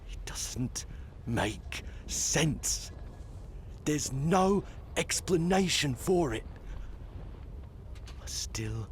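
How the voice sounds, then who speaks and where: A man playing an obsessed, unraveling researcher recording a game audio log, halting and drifting into whispers. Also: lowish voice, slight background noise.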